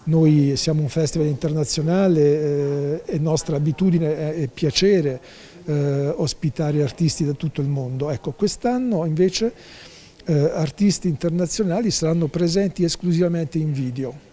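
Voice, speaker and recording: A man's voice: a little high, moderate pace, dark tone. A man in his sixties being interviewed as a festival's artistic director, talking through a face mask with many fillers and restarts. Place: indoor office, wearing a face mask.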